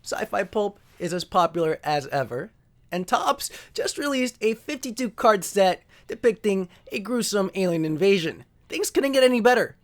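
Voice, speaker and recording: A man's voice: high voice, expressive, moderate pace. A man hosting an informal video introduction, wry, joking and self-deprecating. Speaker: host.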